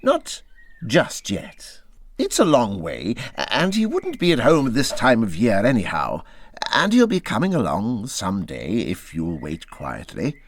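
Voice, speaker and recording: Storybook Water Rat, played by a man: cautious, evasive fellow, fussy reassurance, hugely animated pitch swings. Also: unhurried, slight background noise, exaggerated voice. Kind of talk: storybook character voice.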